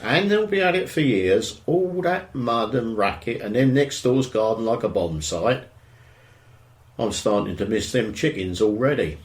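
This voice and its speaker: Man acting middle-aged suburban husband, English accent, grumbling, dry, nosy, animated mid-pitched voice, naturalistic radio play. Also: a little slow, echoey room. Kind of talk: naturalistic audio drama dialogue.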